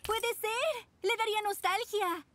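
A woman playing a bossy, playful teenage girl in an animated cartoon dub. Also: very high voice, measured pace. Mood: wistful. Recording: music behind the voice.

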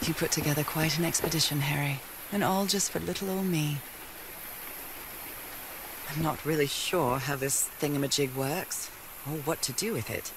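An actress playing a curious, devoted wife in an adventure game, thinking aloud reflectively with old-fashioned slang. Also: female voice, deep voice, fairly even intonation, normal speed, background noise. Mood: curious, musing. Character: curious, devoted wife searching for her missing husband.

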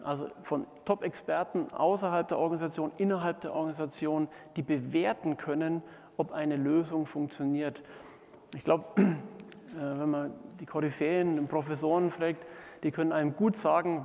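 A middle-aged man delivers a conference keynote in a fairly high voice with plenty of vocal fry. He pauses often and feels his way through phrases. The sound carries the long reverb of a large hall. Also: mellow. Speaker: keynote speaker, management consultant. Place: large indoor conference hall, on stage before seated audience.

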